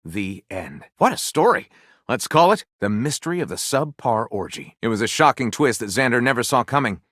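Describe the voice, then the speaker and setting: A theatrical video game storyteller in a dry, close recording. His pitch leaps and dips freely as he delivers pulp fiction narration with grandiose melodrama. The character is flamboyant and enthusiastic, with a playfully sardonic edge. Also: sing-song, moderate pace, a bit bright, dry recording. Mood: theatrical enthusiasm.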